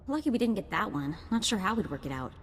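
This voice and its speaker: A woman's voice: medium pitch, very animated, fast, in a large hall, a little hiss or hum, natural voice. A woman playing a young, chatty bounty hunter's sidekick in a video game, informal and a little hesitant. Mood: uneasy.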